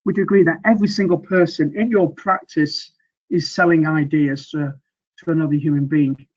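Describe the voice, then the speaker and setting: A middle-aged man coaching on communication and sales, speaking earnestly and loosely with many fillers and restarts, a little croaky from weeks of teaching. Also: on the high side, steady tone, moderate pace, muffled recording. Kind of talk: presentation with slides.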